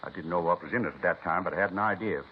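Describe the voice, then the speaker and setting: Actor as earnest, simple male butcher, deep folksy voice, plain-spoken, 1950s radio acting on a dull, hissy recording. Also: rapid-fire, lightly acted.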